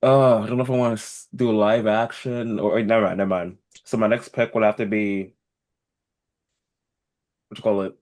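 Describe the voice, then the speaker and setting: A man's voice: on the low side, steady tone, normal speed, pauses often, a little mellow. A young man co-hosting a casual podcast, speaking in a blunt, informal, slangy way.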